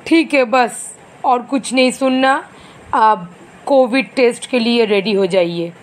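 A woman voicing a stern, blunt male doctor in an animated moral story, formal and firm. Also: a little high, slow pace, slight background noise. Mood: businesslike.

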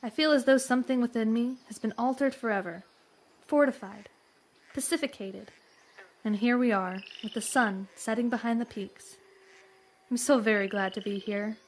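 A woman's voice: fairly high pitch, normal speed, slight background noise, close to an everyday voice, poor audio. An actress playing a refined, bookish, earnestly enthusiastic young lady in a western audio drama, with formal, florid diction. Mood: awed.

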